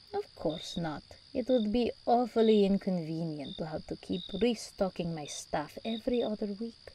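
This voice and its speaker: A woman's voice: mid-range voice, dark tone, slight background noise. A woman playing a regal, seductive demon princess in an ASMR roleplay, theatrical and teasing, commanding yet tender with her endearments. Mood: amused, commanding.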